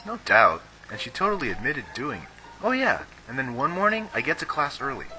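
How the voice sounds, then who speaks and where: Guy doing a cocky gossipy high school dude in anime dub, really sharp forceful voice, swings up and down. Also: very animated, brisk, faint music behind, low-quality recording. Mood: gossipy, knowing. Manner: slangy, cocky, emphatic. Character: brash, gossipy, girl-crazy teenage schoolboy. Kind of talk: amateur anime fan dub dialogue.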